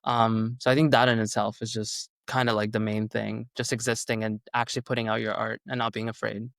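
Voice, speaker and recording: A man's voice: on the low side, flat delivery, fairly quick, creaky, dry recording, clean studio sound. A man in his twenties, whose first language is Urdu, answering interview questions in a casual, upbeat way, with lots of "like" fillers. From Canada. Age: 20s. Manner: chatty, heavy "like" fillers, upbeat. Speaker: musician guest.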